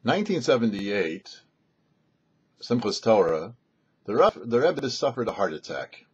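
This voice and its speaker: A dull, muffled lo-fi recording of a man narrating a story. His voice is on the low side and quite husky. He speaks deliberately, setting phrases apart with pauses. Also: big pitch swings. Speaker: rabbi and radio storyteller, host.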